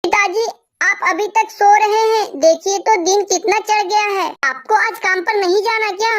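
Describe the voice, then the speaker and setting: A poor little orphan bird girl from a cartoon. Her voice sits extremely high and childlike. She talks in a plaintive, pleading way. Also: fairly even intonation, normal speed. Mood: anxious. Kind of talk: Hindi cartoon dubbing.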